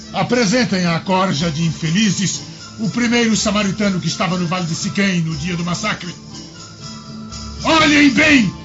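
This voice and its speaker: A man's voice: sits high, fairly bright tone. An actor playing an arrogant, vengeful Roman governor in an audio drama, pompous and menacing as he declaims to aides and crowds. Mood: furious, accusing. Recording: dull lo-fi audio, music behind. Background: background music.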